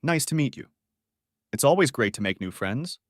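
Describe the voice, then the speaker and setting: A man teaching beginner English, reading short model sentences clearly and saying each one twice. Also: medium pitch, sing-song, no echo, clean studio sound. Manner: says each phrase twice, clearly and deliberately.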